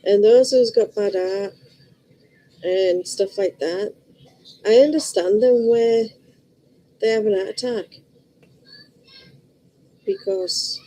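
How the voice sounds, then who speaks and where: A woman's voice: medium pitch, expressive, slow, pauses often, mellow, poor audio. Rambling chatty woman with a Liverpool accent. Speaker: vlogger. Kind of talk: casual chat to camera.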